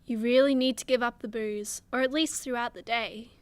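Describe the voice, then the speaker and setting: A woman playing a sharp-tongued, wry superheroine in a comedy radio play, blunt and sarcastic. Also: on the high side, fairly even intonation, normal speed, dry recording, natural voice. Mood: angry, sarcastic. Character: sharp-tongued, wry young superheroine, a frank drinking buddy.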